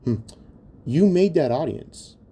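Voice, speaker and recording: A man's voice: a little high, lively intonation, slow pace, dark tone, slight background noise. A man giving an opinionated commentary rant in a blunt, exasperated and scornful manner, swearing heavily. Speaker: online commentator.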